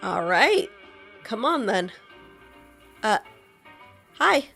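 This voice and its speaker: Woman, lively high voice, voicing her player in casual game role-play; playful with light music under. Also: extremely slow, creaky, husky. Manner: playful, giggly, teasing. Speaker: gameplay narrator voicing the player character.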